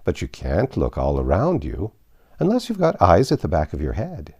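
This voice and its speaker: A man's voice: low-pitched, huge pitch swings, normal speed, a little mellow, husky, full character voice. A man reading aloud, voicing an old female sheep who keeps a shop, dry and matter-of-fact in a storybook. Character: old female sheep shopkeeper, curt and literal.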